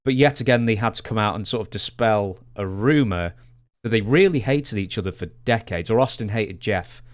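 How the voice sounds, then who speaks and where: A man hosting a podcast in a conversational way, measured and matter-of-fact as he sets up questions. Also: lowish voice. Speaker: podcast host, interviewer.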